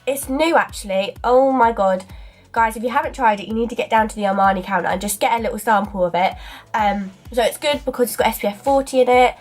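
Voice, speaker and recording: A woman's voice: a little high, quick pace, soft background music. A young woman in her twenties with a stuffy cold, chatting casually through a makeup tutorial in a small dressing area, recorded by a camera in front of her. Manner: chatty, filler-heavy, talks while applying makeup. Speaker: beauty vlogger. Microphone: camera in front at arm's length.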